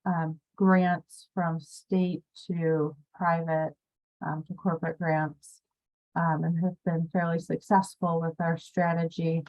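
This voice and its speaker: A woman's voice: sits low, little pitch movement, takes it slowly, a little mellow. A woman with a cold, sounding sniffly, speaking as a panelist over a video call with many fillers. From Florida. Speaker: grant manager, panelist. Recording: muffled video call audio.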